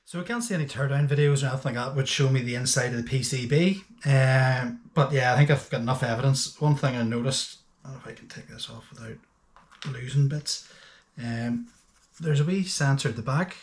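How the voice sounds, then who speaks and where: A man's voice: mid-range voice, a little fast. Man in an echoey room. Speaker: host of a boating gadget review channel. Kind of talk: casual unscripted product review. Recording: echoey, roomy sound.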